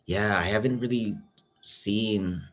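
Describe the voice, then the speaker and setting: A man's voice: lowish voice, takes it slowly, fairly bright tone, soft background music. A young man with a Filipino accent chatting casually on a livestream, greeting viewers by name as he talks. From Philippines. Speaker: YouTube reactor.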